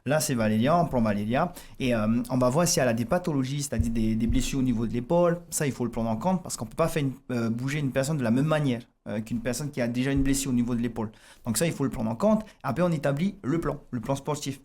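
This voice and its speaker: A man's voice: mid-range voice, fast, darkish tone. A man with a Tahitian accent, a fitness coach guest on a radio interview, explaining eagerly with many restarts and fillers. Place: radio studio.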